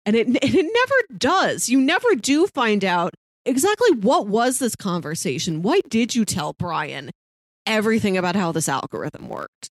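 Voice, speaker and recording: Female podcast co-host, mid-range voice, dry studio-like sound. Casual, conversational, animated storyteller who acts out quotes. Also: mid-range voice, moderate pace, dry recording. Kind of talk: casual discussion of a TV series.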